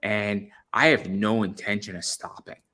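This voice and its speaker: A man's voice: lowish voice, fairly even intonation, measured pace, bright, forceful tone. A man of about thirty hosting an interview in a casual chat, stammering and repeating words as he thinks aloud. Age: around 30. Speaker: interview show host.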